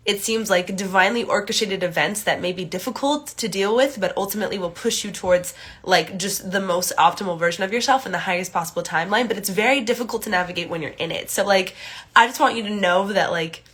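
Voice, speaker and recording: A woman's voice: mid-range voice, fast talker, breathy edge. A young woman with a stuffy, congested nose, chatting casually to viewers on a phone livestream with lots of filler words, recorded in a small room. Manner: chatty, many "like" and "um" fillers, greets viewers brightly. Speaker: astrology livestream host. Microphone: phone camera at arm's length.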